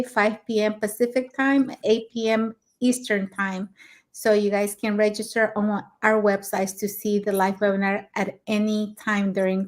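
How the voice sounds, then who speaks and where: A middle-aged woman from Mexico on a webinar panel, briskly reading out lists and reminders. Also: medium pitch.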